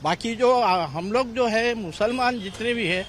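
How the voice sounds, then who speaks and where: A man's voice: very high voice, a little slow, a little hiss or hum. A man answering informally in a street interview. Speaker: member of the public interviewed. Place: outdoors on a city street.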